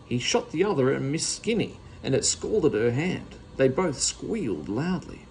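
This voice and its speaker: A man's voice: mid-range voice, sing-song, a little slow, vocal fry, darkish tone, slight background noise, low-quality recording. A man reading a children's storybook aloud as narrator. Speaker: story reader.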